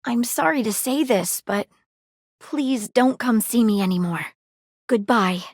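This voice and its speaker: Flirty magnifying glass detective, highish voice that jumps all over, dry close mic. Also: female voice, expressive, measured pace, no room sound. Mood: earnest. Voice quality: playful, dramatic, flirtatious. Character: sleuthing female magnifying glass, flirty and nosy. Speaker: dateable game character. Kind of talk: video game voice lines. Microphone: close studio mic.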